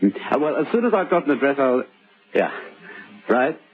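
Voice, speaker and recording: A man's voice: mid-range voice, big pitch swings, moderate pace, lightly acted. An actor playing a scheming, nervous middle-aged husband in an old radio drama, muttering and stammering as he goes. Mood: nervous, falsely concerned. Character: scheming forty-year-old husband hiding a crime, nervous, self-satisfied. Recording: tinny old radio recording with music.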